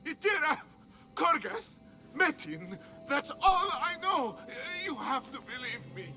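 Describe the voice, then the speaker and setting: A man playing a terrified, pleading captive in a video game, halting and desperate. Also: extremely high pitch, big pitch swings, music in the background, full character voice. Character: terrified, pleading male captive under torture. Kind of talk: scripted video game drama.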